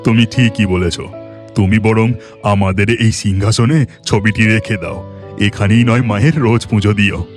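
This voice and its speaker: A man's voice: on the low side, a little slow, faint music behind, lightly acted. An actor playing a kind, devout village husband and boatman in a cartoon, speaking in an earnest, plain-spoken way.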